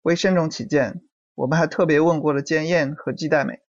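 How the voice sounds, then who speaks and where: An actor playing a dutiful junior police officer in an audio drama, reporting in a formal, businesslike way. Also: male voice, a little high, fairly quick, no room sound, dull audio. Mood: formal, earnest.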